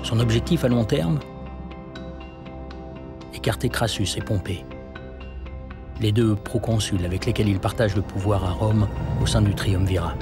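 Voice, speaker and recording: A man narrating a scripted history documentary in a measured, serious way. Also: lowish voice.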